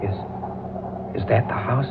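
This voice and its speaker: An actor playing a suave, mysterious gentleman in a 1940s radio drama. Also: measured pace, natural voice. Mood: uneasy. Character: Duke, suave, mysterious businessman suitor. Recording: noisy, narrow-band old radio recording.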